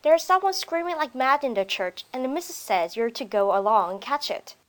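Lady doing a cheeky young maid, high voice, pert and chatty, storybook. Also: expressive, fairly quick. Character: cheeky, unimpressed young housemaid.

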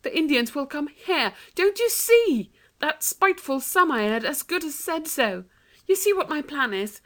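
An anxious, bossy young girl talks fast and urgently in a storybook reading, voiced by a woman. The voice sits high and bright above the narration. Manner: urgent and excitable.

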